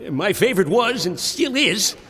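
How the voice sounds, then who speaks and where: A fervent old-timer in an audio comedy. His high, bright voice rings with long reverb over a faint crowd. He speaks with folksy conviction. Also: very animated, moderate pace, slight background noise, heavily acted. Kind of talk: comic celebrity impression.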